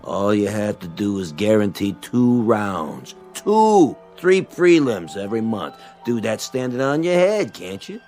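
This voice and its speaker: An actor playing a sleazy boxing manager in a radio drama, sarcastic and threatening. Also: male voice, fairly low pitch, very animated, a little slow, few pauses, a little mellow, soft background music. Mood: sneering.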